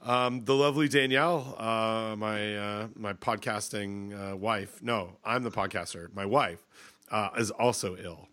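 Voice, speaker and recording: A man's voice: lowish voice, steady tone, unhurried, fairly bright tone. A man in his thirties co-hosting a podcast, stuffed up from a cold, rambling with lots of restarts. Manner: rambling, hesitant, many restarts. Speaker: podcast co-host. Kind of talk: casual chat.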